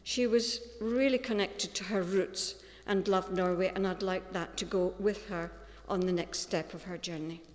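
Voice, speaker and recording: A woman with a Scottish accent giving a gentle, storytelling tribute at a funeral. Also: lowish voice, fairly even intonation, normal speed, hardly any pauses. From Scotland. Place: funeral chapel. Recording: long reverb of a large hall.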